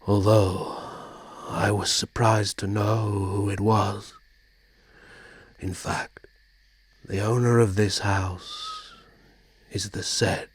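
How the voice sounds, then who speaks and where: A man playing a brooding, courteous immortal vampire prince in a dramatic audio roleplay, speaking formally and measuredly in a husky, breathy voice. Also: lowish voice, exaggerated voice.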